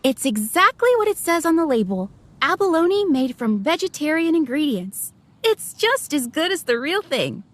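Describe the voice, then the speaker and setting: A woman's voice: sits high, very animated, normal speed, fairly bright tone. A woman voicing a polite young female server at an inn, describing dishes to customers in a video game. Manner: polite, attentive, descriptive sales patter.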